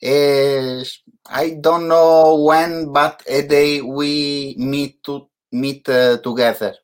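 Host, slow halting english, lots of uhs. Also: male voice, on the high side, steady tone, clear, resonant tone. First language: Italian. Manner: halting, hesitant English with many fillers. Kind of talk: casual interview.

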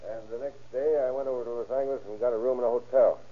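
An American actor playing a straightforward, wary but kindly boat captain in an old radio crime drama. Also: male voice, medium pitch, fairly even intonation, racing, close to an everyday voice. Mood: matter-of-fact. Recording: tinny, noisy old radio recording.

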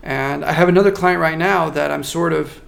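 A man working as a marketing consultant, giving a measured, thoughtful interview answer with frequent restarts. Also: fairly high pitch, steady tone, gravelly, a bit bright. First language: not French.

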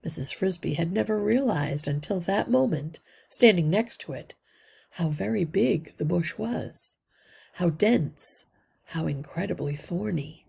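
A woman reads a children's story aloud expressively, with deliberate phrasing that drops into hushed line endings and a husky, breathy voice. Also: fairly low pitch, animated. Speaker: read-aloud podcast narrator.

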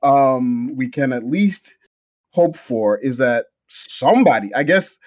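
A man's voice: medium pitch, expressive, slow pace, no room sound. A man with a Jamaican accent co-hosting a football podcast, talking in a heated, indignant way with many restarts. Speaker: podcast co-host. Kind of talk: casual football podcast chat.

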